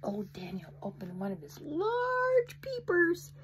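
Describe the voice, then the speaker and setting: A middle-aged woman reading her own children's book aloud at home, interactive, with soft, often whispered asides to young listeners. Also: very high voice, wildly swooping pitch, takes it slowly, a little hiss or hum. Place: home room, indoors. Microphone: phone camera close up.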